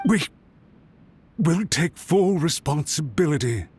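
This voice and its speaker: A man's voice: on the high side, expressive, slow, a little mellow, breathy edge, exaggerated voice. A man voicing an anxious, servile government official in a video game, formal, pleading and deferential. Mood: earnest, anxious. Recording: background music under voice.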